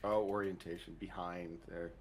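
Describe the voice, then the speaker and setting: A man's voice: low-pitched, little pitch movement, moderate pace, slight background noise. A man co-hosting a video game let's play with casual, dry, joking banter. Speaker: co-host commenting on a video game.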